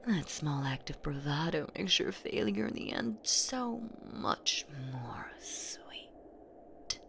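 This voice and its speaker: Woman voicing a slow, whispery, gloating monster woman. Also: deep voice, animated, fairly bright tone, exaggerated voice. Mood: gloating, excited. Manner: slow, teasing, menacing, breathy whispers and drawn-out words. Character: ancient boogeywoman monster, female, predatory, gloating, possessive. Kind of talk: roleplay audio horror drama, intimate monologue to listener.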